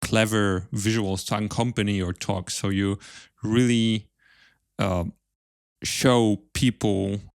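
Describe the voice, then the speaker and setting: A man with a fairly low voice hosts a podcast interview, recorded dry with no room sound. He phrases his questions carefully and haltingly, in a casual conversational style. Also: steady tone, clean tone, dry recording.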